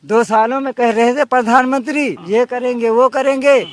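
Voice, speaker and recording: Very high-pitched man complaining in street interview. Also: fairly even intonation, normal speed. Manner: aggrieved, repetitive complaining. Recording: street interview with faint background noise.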